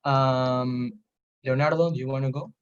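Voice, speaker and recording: A man's voice: mid-range voice, fairly even intonation, a little slow, clear, resonant tone, muffled recording. A man from Panama, a student competitor, introducing himself in a friendly way on a call.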